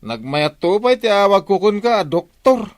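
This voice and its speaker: Man acting a brash, high-pitched village husband. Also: very animated, unhurried, no echo, clean studio sound. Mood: mocking. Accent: Ilocano. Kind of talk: Ilocano radio comedy drama acting.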